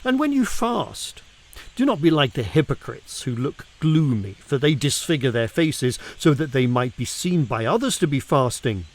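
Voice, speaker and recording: A man reading scripture aloud in an expressive, dramatic way, deliberate and emphatic, with weighted pauses. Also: medium pitch. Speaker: reader of scripture.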